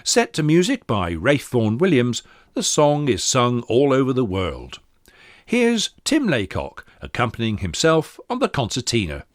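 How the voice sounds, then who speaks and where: A man's voice: mid-range voice, wildly swooping pitch, a little slow, no echo, clean studio sound. A man giving scripted narration in a documentary style.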